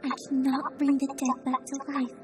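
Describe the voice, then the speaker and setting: A woman voicing a gentle, timid young female pony who speaks softly and slowly. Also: high voice, flat delivery, voice pushed up, close to an everyday voice. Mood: solemn. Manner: soft, slow, forgiving. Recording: distant voice over loud music.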